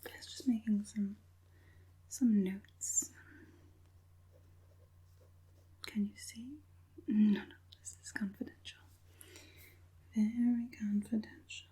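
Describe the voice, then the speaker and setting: A woman playing a politely businesslike, secretive witch puppet master in a soft, breathy whispered ASMR roleplay. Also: mid-range voice, fairly even intonation. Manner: soft, unhurried, politely professional, like a fitter.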